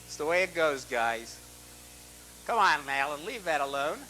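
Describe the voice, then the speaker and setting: A man hosting a live call-in show, gruff and dismissive as he fields his callers in an exasperated way. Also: a little high, animated, normal speed, sharp tone. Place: public-access TV studio. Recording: buzzy, noisy public-access TV audio.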